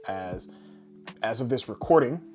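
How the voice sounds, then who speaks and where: A man's voice: medium pitch, lively intonation, a little slow, a little mellow, faint music behind. A man co-hosting a podcast with casual, jokey, rambling showman patter. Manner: boisterous, rambling, tongue-in-cheek showman patter. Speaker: podcast co-host.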